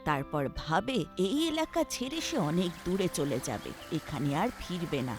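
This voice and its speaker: A woman narrating a scripted children's story with an expressive storybook delivery. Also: lowish voice.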